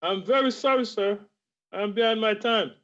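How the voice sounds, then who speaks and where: Man as nervous clerk, high voice, muffled video-call sound. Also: a bit bright. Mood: apologetic. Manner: hurried, apologetic.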